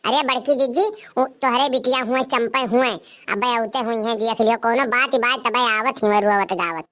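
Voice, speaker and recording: A woman voicing an excitable Awadhi-speaking village woman in a cartoon comedy, emphatic and repetitive as she pleads to be believed. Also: a little high, moderate pace, lightly acted. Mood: protesting. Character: excitable village woman bringing gossip to her elder sister.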